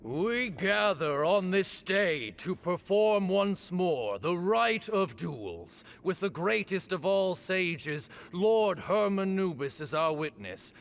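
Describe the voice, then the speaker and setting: A man's voice: high voice, expressive, slow, vocal fry, few pauses, a bit bright, exaggerated voice. An actor playing a ceremonial male duel officiant in game dialogue, proclaiming solemnly.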